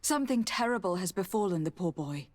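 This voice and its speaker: Brief: aristocratic envoy, very lively pitch movement, formal, courteous, in command, game dialogue. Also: female voice, fairly quick, dry recording. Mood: pressing. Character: poised, commanding young noblewoman diplomat. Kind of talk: video game character acting.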